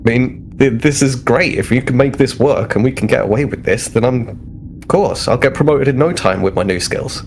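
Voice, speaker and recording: A restless, eager male security officer from a starship roleplaying game, acted out by a man. The voice has heavy vocal fry and a lowish pitch, talking casually and cockily, repeating phrases over a noisy recording. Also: moderate pace, natural voice. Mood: eager, cocky. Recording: clear background noise.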